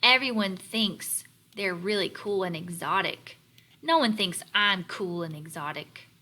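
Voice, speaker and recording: Woman reading as a wistful, envious storybook spoon, bright voice. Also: mid-range voice, expressive, measured pace. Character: young restless envious spoon, complaining about luckier friends.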